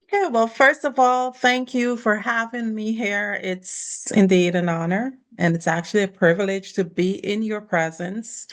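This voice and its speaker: A woman's voice: medium pitch, fairly bright tone. Earnest woman from the Caribbean. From Caribbean, born in Guyana, raised in Barbados. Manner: gracious, earnest, laughs lightly between thoughts. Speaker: podcast guest. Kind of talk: personal storytelling in conversation.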